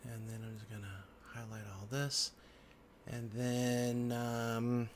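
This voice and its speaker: A man's voice: mid-range voice, level tone, takes it slowly. A man giving a casual, unscripted computer tutorial as an instructor, thinking aloud and murmuring to himself as he works. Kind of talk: casual unscripted screen-recorded walkthrough. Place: indoors at a computer. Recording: background noise, keyboard typing and clicks. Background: keyboard typing and mouse clicking.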